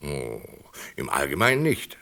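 An actor playing an uneasy, earnest wine merchant insistently defending himself in a radio play. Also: male voice, very deep voice, huge pitch swings, measured pace, vocal fry, bright, forceful tone, exaggerated voice. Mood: defensive, uneasy. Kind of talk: German radio play acting.

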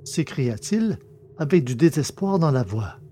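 Brief: man narrating a sci-fi audio drama, mellow warm voice, measured, faint hum under it. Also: medium pitch, sing-song. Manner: measured storytelling. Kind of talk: scripted narration.

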